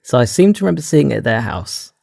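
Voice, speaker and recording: A man's voice: medium pitch, very animated, fast talker, gravelly, darkish tone, no room sound, studio quality. A man co-hosting a podcast, talking casually about films in a hesitant, tentative way. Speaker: podcast co-host. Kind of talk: casual film discussion.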